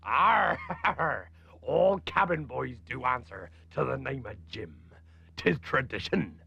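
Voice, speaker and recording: An actor playing a swaggering one-eyed old pirate captain, gravelly and raspy, with a hearty West Country pirate dialect full of drawn-out arrs. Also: male voice, mid-range voice, lively intonation, bright, forceful tone, no echo, dull audio, heavily acted. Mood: hearty, sly. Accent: stage West Country pirate.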